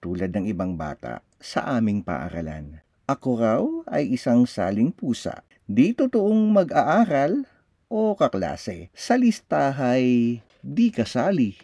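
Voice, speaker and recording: A man with a Filipino accent reading a children's picture book aloud, expressively, with deliberate, drawn-out phrasing. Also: mid-range voice, sing-song, warm tone.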